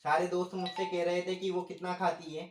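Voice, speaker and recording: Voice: mid-range voice, little pitch movement, fairly quick, heavy background noise, lightly acted. A performer playing a proud, scheming husband in an amateur moral story, with a self-justifying manner. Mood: aggrieved. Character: image-conscious scheming husband, proud of himself. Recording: roomy, echoing sound.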